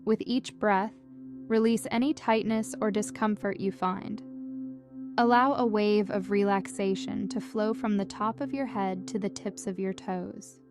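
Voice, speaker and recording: Calm woman guiding meditation over music. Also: mid-range voice. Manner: gentle, calm, measured instructions with soothing delivery. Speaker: meditation guide. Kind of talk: guided meditation narration, scripted.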